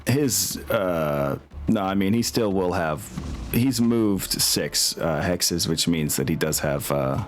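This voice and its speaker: A man with a deep voice runs a casual tabletop wargame as game master. He thinks aloud in an easygoing manner, with music clearly playing behind him. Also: lively intonation, slow pace.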